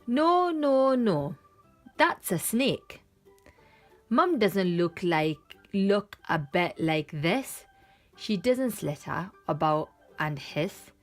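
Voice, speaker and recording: A woman's voice: mid-range voice, big pitch swings, very slow, faint music behind. A woman voicing a small lost monkey child in a children's storybook, protesting emphatically as it searches for its mum. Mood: exasperated, protesting. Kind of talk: children's picture book read-aloud.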